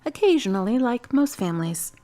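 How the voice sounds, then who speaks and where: An actress playing a calm, wise young woman in her twenties from the United States, who talks bluntly and teases dryly. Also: a little high, a little fast. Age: 20s. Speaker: friend and love interest. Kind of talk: amateur web drama acting.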